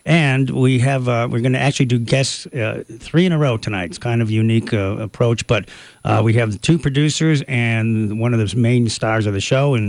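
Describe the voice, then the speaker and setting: A man's voice: mid-range voice, brisk, darkish tone, no room sound. A man co-hosting and producing a live radio talk show, announcing and interviewing in an upbeat manner from a radio studio. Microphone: studio microphone.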